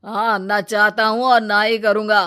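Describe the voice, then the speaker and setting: Selfish cartoon husband, voiced by a woman: irritable, loud, dismissive, harsh and scolding. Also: mid-range voice, flat delivery, measured pace, smooth. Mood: angry, dismissive. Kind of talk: Hindi cartoon moral story dialogue.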